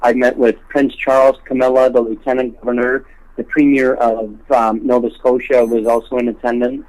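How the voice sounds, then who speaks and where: A man speaking as a guest in a telephone interview, earnest and halting, often repeating words as he makes his case. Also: mid-range voice, little pitch movement, darkish tone, low-quality recording. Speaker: interview guest, homelessness awareness activist. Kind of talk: telephone interview, spontaneous advocacy talk. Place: on a telephone call.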